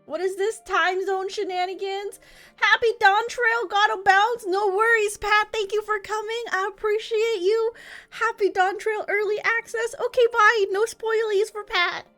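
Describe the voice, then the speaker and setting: A woman's voice: very high-pitched, fairly even intonation, a little slow, fairly bright tone. A chatty woman streaming a game live, greeting her viewers effusively. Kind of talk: livestream chat and reading game dialogue aloud. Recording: music behind the voice.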